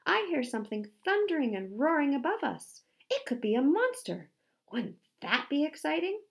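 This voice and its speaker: A woman's voice: mid-range voice, big pitch swings, normal speed, creaky, voice pushed up. A woman voicing an enthusiastic, earnest and cheerfully naive male polar bear explorer in a storybook. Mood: excited.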